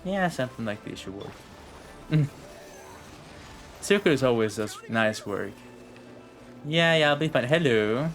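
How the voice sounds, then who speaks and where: A male streamer talks casually over a game at a middling pitch. He rambles and thinks aloud in a chatty way, with music playing behind him. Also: animated, measured pace, long pauses. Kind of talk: casual live game commentary. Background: video game music and dialogue.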